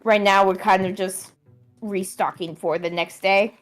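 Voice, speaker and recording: A woman's voice: medium pitch, steady tone, measured pace, soft background music. A woman chatting casually while playing a game, often reading its text aloud. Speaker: guest gamer. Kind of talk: casual chat while gaming.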